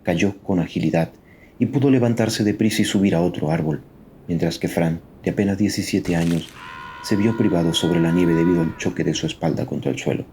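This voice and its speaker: Really deep guy narrating a dramatic tale, solemn and suspenseful, bit of background noise. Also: mellow. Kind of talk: dramatic scripted story narration.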